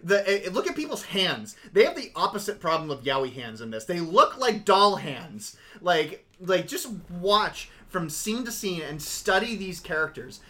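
A young man co-hosting a casual podcast, rambling through an animated critique with lots of fillers. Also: sits high, measured pace, few pauses. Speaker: podcast co-host. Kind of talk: casual anime review chat.

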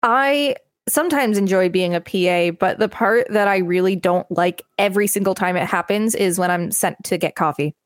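A woman's voice: medium pitch, moderate pace, few pauses, dry recording, clean studio sound. A woman co-hosting a podcast, reading an encyclopedia article aloud with some casual banter. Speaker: podcast co-host.

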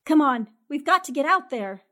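Woman acting fearless lady reporter, high swooping voice, brisk, commanding, sarcastic, 1940s radio drama. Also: animated, bright, forceful tone. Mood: urgent. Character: bold, sharp-tongued 1940s newspaperwoman, fearless and commanding.

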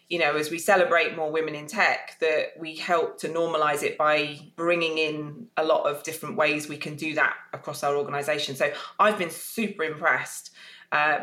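A woman's voice: sits low, sharp tone, roomy sound. A woman speaking as a panelist, hesitant and full of filler words as she gathers her thoughts. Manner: hesitant, lots of "you know" fillers. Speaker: panelist, tech company newcomer. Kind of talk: panel discussion.

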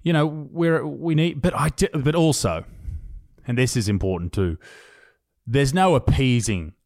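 An Australian man talking on a podcast in a middle pitch, blunt and profane, his thoughts running on. The sound is dry and close with no room around it. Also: measured pace, darkish tone, no room sound. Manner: blunt, swears freely, loose run-on talk. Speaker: podcast host. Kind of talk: casual chat.

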